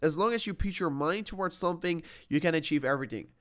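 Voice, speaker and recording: A young man in his twenties with a somewhat raised pitch gives a loose, motivational vlog monologue. He keeps circling back with "I mean" and "like", restarting and correcting himself as he goes. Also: quick pace, a bit bright. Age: 20s. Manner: repeats himself, many "I mean" and "like" fillers, self-corrects often. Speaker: crypto YouTuber.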